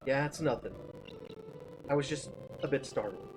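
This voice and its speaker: A man's voice: mid-range voice, normal speed, over music, lightly acted. Man reading as a polite, guarded schoolboy. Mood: reserved, polite. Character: polite, guarded male high school student. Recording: clearly noisy background.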